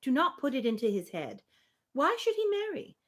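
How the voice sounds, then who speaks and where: A woman's voice: sits high, very animated, moderate pace, a bit bright, voice pushed up, full character voice. A woman reading a spirited, opinionated young woman from a Regency novel, emphatic and formal. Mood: indignant.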